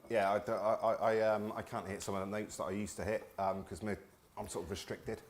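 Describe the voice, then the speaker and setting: A man's voice: on the low side, flat delivery, brisk. A middle-aged man who is a guest on a cooking show, chatting casually, hesitant and repeating words. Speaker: guest musician. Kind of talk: casual chat.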